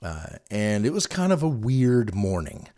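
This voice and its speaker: A man's voice: on the low side, big pitch swings, a little slow, warm tone. A middle-aged man hosting a solo podcast in a casual, chatty, conversational storytelling manner. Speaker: podcast host.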